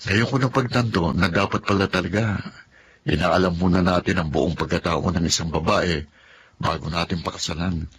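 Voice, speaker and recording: An actor playing a frustrated, blunt husband of thirty in a Filipino radio drama. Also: male voice, deep voice, fairly even intonation, normal speed, a bit bright, a little hiss or hum. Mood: dejected, confiding. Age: 30s.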